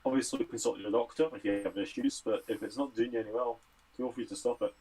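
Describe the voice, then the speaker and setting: A man's voice: medium pitch, steady tone, fast talker, a little mellow, breathy edge. A Scottish man who studies medicine, casually answering health and fitness questions on a livestream Q&A. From Scotland.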